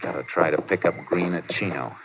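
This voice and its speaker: An American man acting a steady, laconic Texas Ranger in an old radio drama, courteous but determined, with clipped matter-of-fact delivery. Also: sits low, a little fast, background noise. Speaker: lead actor and narrator. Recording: muffled old radio recording with music.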